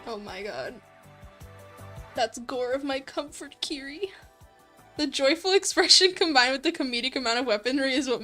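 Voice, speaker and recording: A woman's voice: high-pitched, a bit bright. A woman livestreamer chatting casually with viewers, giggly and gushing, using lots of internet slang. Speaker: livestreamer, host. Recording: background music behind voice. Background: light background music.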